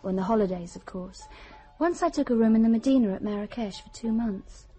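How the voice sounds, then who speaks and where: Young british woman in a radio play, highish mellow voice, intense, secretive, very sure of herself, music behind. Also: animated, brisk, slightly rough. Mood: matter-of-fact, boastful. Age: young adult. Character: intense, secretive, self-assured young actress and lover. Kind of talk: radio drama acting.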